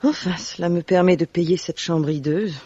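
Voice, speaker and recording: An actress playing an effusive, self-pitying, elegant lady translator in a radio mystery, husky, chatty and theatrical. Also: lowish voice, normal speed, mellow, no echo. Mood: world-weary. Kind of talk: French radio mystery drama acting. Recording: dull vintage-style radio recording.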